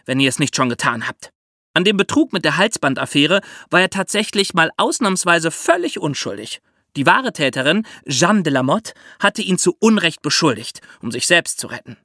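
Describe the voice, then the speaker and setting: A man's voice: on the high side, bright, forceful tone, no room sound, clean studio sound. A man hosting a podcast, telling history in a conversational storytelling style. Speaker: podcast host.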